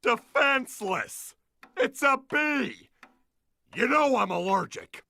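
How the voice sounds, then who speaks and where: An actor playing a pompous, hot-headed young man in an animated comedy game, bossy and melodramatic. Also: very high voice, slow, gravelly, dry recording, heavily acted. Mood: exasperated. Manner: bossy, melodramatic, barking orders.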